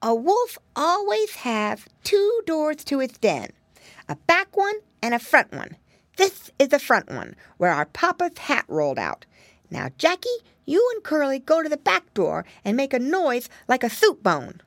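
A woman voicing an excitable little piggy boy who takes charge and lays out plans in a high, childlike voice. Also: a little slow, no echo, exaggerated voice. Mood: eager.

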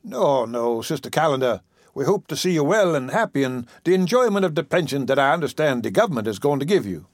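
A man's voice: fairly high pitch, very animated, a little fast, no room sound, studio quality. A man voicing a greedy swindler who feigns kindness with an ingratiating, hesitant manner in a storybook reading. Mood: eager, anxious.

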